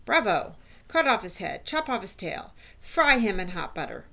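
A woman's voice: sits low, normal speed, creaky, breathy edge, full character voice. Woman acting an eager, fawning witch follower. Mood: bloodthirsty glee. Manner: excitable, flattering.

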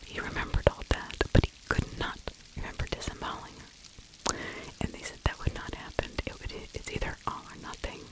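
A middle-aged woman whispering ASMR true crime commentary close to the microphone, hushed and halting with pauses. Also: rough recording. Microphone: close microphone with fluffy windscreen.

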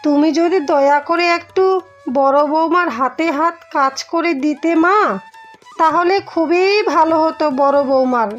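A woman's voice: high-pitched, fairly even intonation, unhurried, muffled recording, faint music behind. A woman voicing a kindly, doting old mother-in-law in a cartoon, talking tenderly and fussing over her daughters-in-law. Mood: pleading. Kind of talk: dubbed cartoon voice acting.

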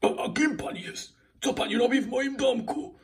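A man's voice: very high-pitched, moderate pace, recorded from a distance, pitched above the performer's own voice. A man voicing a cheerful but wary daddy pig in a children's toy puppet story, speaking hesitantly. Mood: puzzled, wary.

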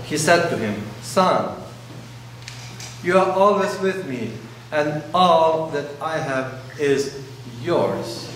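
A man in his forties, a priest whose first language is Russian, preaching a sermon in slow, pausing phrases, recorded indoors in a large church by a camera at a distance. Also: on the high side, expressive, a bit bright, slight background noise. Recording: very echoey church, distant mic.

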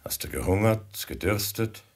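Deep-voiced, commanding prince. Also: male voice, expressive, a little mellow. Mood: stern questioning. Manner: measured, authoritative. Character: calm, commanding male Persian prince and master. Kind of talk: 1950s German radio drama acting.

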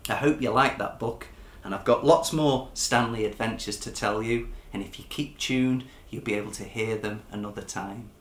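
A man, reading a children's storybook aloud with dramatic, animated expression. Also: fairly low pitch, normal speed, reverberant, slight background noise. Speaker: children's author reading his own book. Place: furnished living room, indoors.

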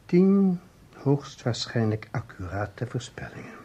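A man's voice: mid-range voice, sing-song, takes it slowly, dark tone, breathy edge, highs cut off, heavily acted. A man acting a methodical, probing investigator in a Dutch radio play, with a Netherlands accent. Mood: analytical.

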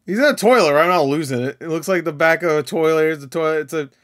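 A man's voice: a little high, quick pace, noisy background. A man in his thirties giving casual, profane reaction commentary in a joking, sarcastic, exclamatory way. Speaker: reaction video host. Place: small furnished room at home, indoors. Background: game trailer music and sound.

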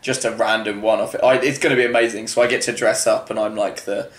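A man's voice: fairly low pitch, fairly even intonation, fast. A man being interviewed as a guest, talking in an informal, effusive way in casual conversation. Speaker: interview guest, children's entertainer. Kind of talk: casual chat. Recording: roomy, echoing room.

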